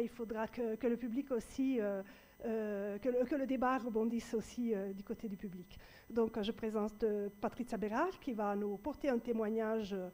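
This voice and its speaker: A woman chairs a panel discussion before an audience in a public hall. Her voice sits a little high and sounds mellow and warm. She circles her thoughts with frequent hesitations and restarts. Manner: hesitant, many fillers and restarts. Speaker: debate moderator. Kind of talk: panel moderation.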